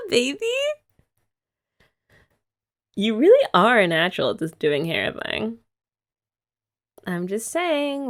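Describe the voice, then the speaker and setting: Chatty young woman, gravelly lively ASMR voice. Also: high-pitched. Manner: chatty, giggly, rambling with light laughs. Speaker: ASMR roleplay host playing a girlfriend. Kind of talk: soft-spoken ASMR roleplay chat.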